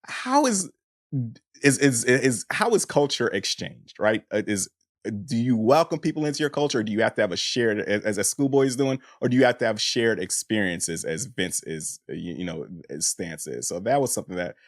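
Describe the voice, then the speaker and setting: A man with a low voice discusses things as a podcast co-host. The sound is dry and close. He is thoughtful and casual, feeling his way through a point and rewording it. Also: animated, no echo. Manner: thoughtful, halting, rephrasing as he goes.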